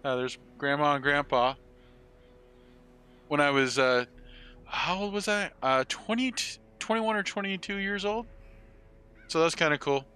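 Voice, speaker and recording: A man's voice: fairly high pitch, expressive, a little slow, pauses often, bright, forceful tone, clean tone. A man in his forties chatting casually and rambling with some salty swearing as he streams a game. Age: 40s. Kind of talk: casual live-stream chat with gameplay commentary.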